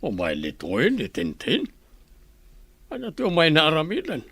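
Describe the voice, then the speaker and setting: Man acting a wary father, animated delivery. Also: a little high, measured pace, husky, dry recording, full character voice. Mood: questioning. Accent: Ilocano. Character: wary, questioning village father. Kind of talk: Ilocano radio drama acting.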